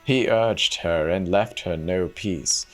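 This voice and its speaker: A man's voice: deep voice, takes it slowly, a bit bright, faint music behind. A man giving a dramatic reading of a fairy tale as a storyteller.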